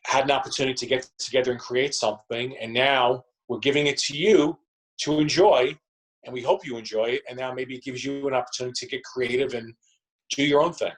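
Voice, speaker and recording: Halting, conversational man with mid-range voice. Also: mid-range voice, low-quality recording. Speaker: actor and theatre company member, interview guest. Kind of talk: conversational interview answers.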